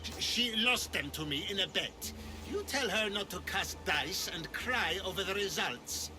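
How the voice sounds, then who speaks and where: A man playing a scornful, excitable small-time swindler in a video game. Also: high voice, measured pace, music in the background. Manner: sneering, excitable. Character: small-time male swindler, scornful, defiant, cowardly when hurt. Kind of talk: video game cutscene acting.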